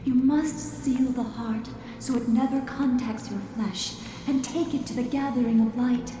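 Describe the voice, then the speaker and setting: A woman voicing a long-dead female guardian in a video game cutscene, giving measured, commanding warnings with a ghostly echo. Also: on the high side, fairly even intonation, background noise. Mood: solemn. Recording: echoey hall heard from far off, with music.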